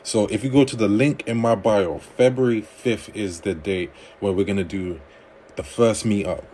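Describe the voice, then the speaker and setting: Man, livestream host, dating advice, reads out comments, chatty replies, deep voice. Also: moderate pace, warm tone, a little hiss or hum. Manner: reads viewer comments aloud and replies conversationally. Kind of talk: casual livestream chat reading comments.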